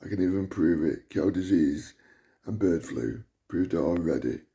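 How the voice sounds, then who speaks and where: A man with a loud voice, delivering an indignant, repetitive rant to camera as a vlogger. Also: deep voice, expressive, normal speed. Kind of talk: ranting monologue to camera.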